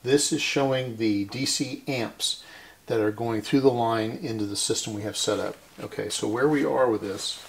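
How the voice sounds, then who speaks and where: A man gives a hands-on repair demonstration, explaining informally and methodically step by step in a creaky voice. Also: mid-range voice, normal speed, a little hiss or hum. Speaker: host of a radio restoration series.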